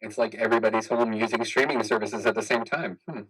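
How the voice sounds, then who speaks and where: A man's voice: lowish voice, steady tone, quick pace, a crowd in the background, poor audio. A man chatting casually and bantering with friends on a group stream. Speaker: participant in an online play-reading stream. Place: remote group video call.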